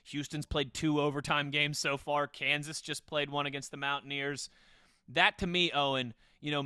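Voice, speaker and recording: A man's voice: mid-range voice, bright, forceful tone, clear, resonant tone, dry recording, clean studio sound. A man hosting a casual football podcast in a conversational way, steering the discussion. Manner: conversational, steers questions to co-hosts. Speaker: podcast host.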